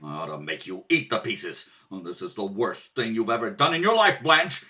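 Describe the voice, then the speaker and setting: A man acting an exasperated, animated bickering husband. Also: fairly low pitch, moderate pace. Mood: outraged. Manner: grumbling, sarcastic, exclaiming in exasperation. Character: exasperated, sleep-deprived bickering husband, sarcastic and put-upon. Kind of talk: old-time radio comedy acting, rehearsal read. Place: kitchen at home. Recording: distant home recording at kitchen table.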